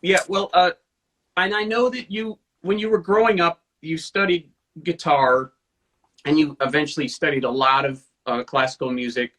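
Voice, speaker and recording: A man's voice: fairly high pitch, steady tone, moderate pace, a bit bright, rough recording. A man hosting an interview over a video call, enthusiastic and joking at his own expense. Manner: enthusiastic, self-deprecating humor. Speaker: interview host. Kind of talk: casual interview chat.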